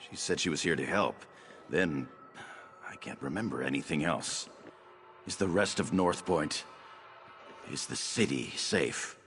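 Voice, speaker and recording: An actor playing a shaken, dutiful young nobleman in a video game, speaking haltingly and earnestly. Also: very deep voice, fairly bright tone, soft background music. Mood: dazed, shaken. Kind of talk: scripted video game dialogue.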